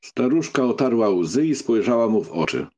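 A man's voice: medium pitch, very animated, moderate pace, dark tone. A man reading scripted narration of a novel as an audiobook narrator.

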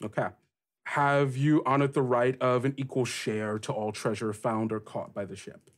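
A man with a mid-pitched voice runs a tabletop role-playing game. He narrates scenes and puts questions to the players. His phrasing is deliberate and halting, as he feels out each piece of the sentence. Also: steady tone. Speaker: game master.

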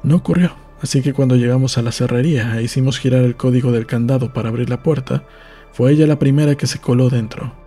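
A man reading a novel aloud as an audiobook narrator, in a calm, measured storytelling manner. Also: medium pitch, faint music behind.

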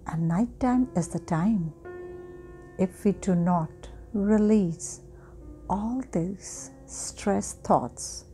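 Very slow, soft-spoken woman guiding meditation. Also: mid-range voice. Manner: soft, breathy, often near whispering, with long pauses. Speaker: guided meditation host. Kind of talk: slow guided sleep hypnosis meditation. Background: meditation music.

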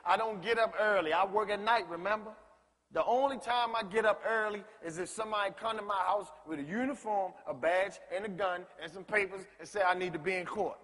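High, husky brash young slacker. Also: male voice, fairly quick, a bit bright. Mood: defiant, protesting. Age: young adult. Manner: slangy, protesting, theatrical. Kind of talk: comic stage play acting. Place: large indoor theatre stage. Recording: echoey theatre stage, long reverb.

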